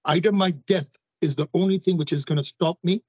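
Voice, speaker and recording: A man's voice: sits high, brisk, darkish tone, breathy edge, no echo, highs cut off. A man in his forties, a guest speaking emphatically on a video call, repeating phrases and addressing listeners directly. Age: 40s. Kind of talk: emphatic interview talk.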